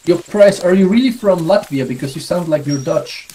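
A man's voice: high-pitched, normal speed, dark tone, slight background noise, low-quality recording. A young man from Portugal with a Portuguese accent, chatting with teammates during a multiplayer game. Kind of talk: casual multiplayer game chat.

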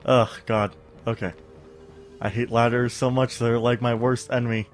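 A man's voice: medium pitch, flat delivery, moderate pace, faint music behind. A stuffy-sounding young man on a studio mic, casually rambling through gameplay commentary with lots of fillers and humming. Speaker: let's play host.

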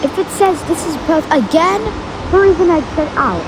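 A woman with a high voice talks casually through a train ride, echoing each station announcement and exclaiming as the train picks up speed. A loud rumble of the train runs under everything she says. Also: normal speed. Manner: repeats announcements, excited exclamations. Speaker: train video narrator. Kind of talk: casual commentary over a train ride video. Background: rumbling train noise.

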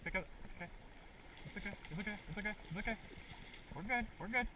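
A man's voice: sits high, fast. A man chatting casually and joking lightly while fishing outdoors in a river, filming on an action camera. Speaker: amateur angler filming a fishing trip. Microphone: action camera worn or handheld. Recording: distant action-camera audio, loud river noise.

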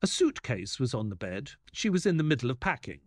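An actor playing an earnest detective's sidekick who also narrates the story of an audio play expressively. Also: male voice, mid-range voice, very animated, a little fast, no room sound, studio quality. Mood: narrating.

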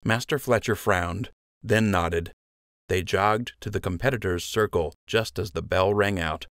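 A man's voice: low-pitched, measured pace, a bit bright, no room sound, clean studio sound. A man narrating an audiobook story in a scripted storytelling style.

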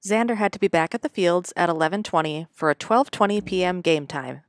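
A middle-aged woman narrates her family weekend in a steady, matter-of-fact voice-over. Her voice is slightly low and plainly delivered. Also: brisk, dry recording, clean studio sound. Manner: even, matter-of-fact storytelling.

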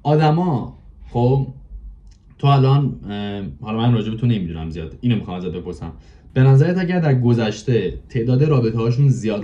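A man's voice: on the low side, mellow. A man chatting casually on a video call, repeating phrases for emphasis. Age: 20s. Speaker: podcast guest. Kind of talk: casual chat. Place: home room with bookshelf and curtains. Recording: muffled, dull video-call sound.

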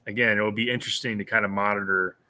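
A man in his thirties talks through a game as a podcast co-host on a video call from a small room at home. His voice sits a little low but sounds very bright and forward. He is thoughtful and circles back often, repeating words as he works out each point. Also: steady tone, racing. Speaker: podcast co-host, sports analyst. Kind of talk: casual postgame analysis. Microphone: over-ear headphones with a webcam on a video call.

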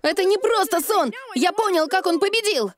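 A woman voicing an excitable, earnest little boy in a cartoon dub. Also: extremely high pitch, normal speed, soft background music. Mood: earnest, insistent.

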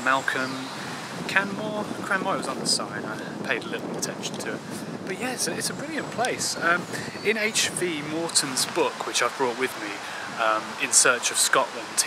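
A man in his thirties talks casually to a handheld camera as he walks outdoors. His delivery is halting, trailing into asides, at an ordinary male pitch. Clear ambient noise sits behind his voice. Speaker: travel vlogger. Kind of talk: casual walking commentary. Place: outdoors in a park and old town streets. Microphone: handheld camera at arm's length.

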